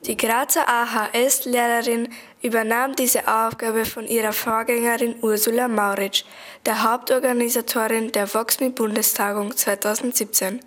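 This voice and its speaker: A woman's voice: a little high, level tone, moderate pace, a bit bright. A teenage girl narrating a school radio report from a script. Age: teens. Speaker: student reporter.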